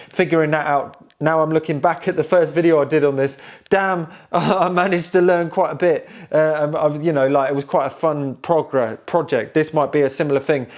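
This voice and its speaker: A man giving a casual, unscripted walkthrough of old equipment, thinking aloud hesitantly with playful, informal slang. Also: on the high side. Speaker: presenter showing and restoring old technology.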